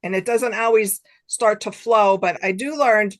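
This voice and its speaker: A woman's voice: mid-range voice, a little slow, no room sound. A middle-aged woman chatting casually as an interview guest, with a self-deprecating manner. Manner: self-deprecating, chuckles between remarks. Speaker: guest author.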